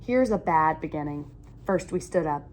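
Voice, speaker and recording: A woman reading a children's picture book aloud in an animated, expressive storytelling manner with emphatic phrasing. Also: low-pitched, moderate pace, a little hiss or hum.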